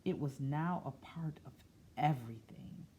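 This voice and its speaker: A woman reading a picture book aloud expressively, slipping into quieter, musing, conversational asides. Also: low voice, a little slow, a little hiss or hum. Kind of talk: expressive read-aloud with soft, chatty asides.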